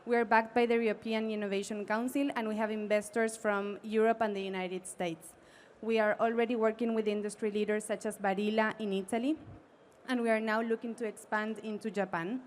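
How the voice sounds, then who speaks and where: Female startup presenter, mid-pitched voice, prepared pitch delivery, faint background noise. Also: level tone, a little fast, darkish tone.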